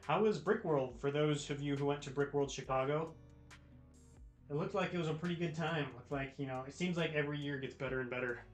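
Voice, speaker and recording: A man's voice: fairly high pitch, fairly quick. An American man hosting a livestream, chatting casually with viewers in a laid-back, slangy way. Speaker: livestream host. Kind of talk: casual chat with live viewers. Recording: roomy echo with music behind. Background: music, guitar.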